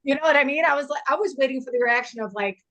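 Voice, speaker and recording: Woman, fairly high pitch, casual discussion style, expressive storyteller who slips into acted-out reactions. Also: racing. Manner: animated, acts out imagined reactions. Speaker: table read participant.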